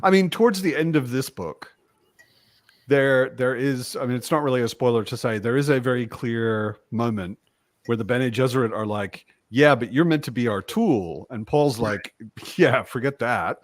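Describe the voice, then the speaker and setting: A man's voice: mid-range voice, moderate pace, dry recording. A man talking casually as a participant in a book discussion.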